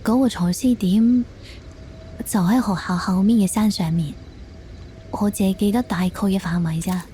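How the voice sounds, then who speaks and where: A woman acts as a hesitant aunt making a reluctant confession in a radio play. Her voice is soft-edged and dark, subdued in delivery, over faint background noise. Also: medium pitch, moderate pace, natural voice. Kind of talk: Cantonese audio drama acting.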